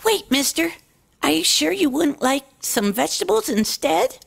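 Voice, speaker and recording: A woman puts on a cheeky little girl pony for a storybook. The voice is lifted well above the reader's own, with some creak in it. She comes across as eager and earnest, like a small child. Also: high-pitched, very animated, normal speed, voice pushed up, heavily acted. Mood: eager, calling out.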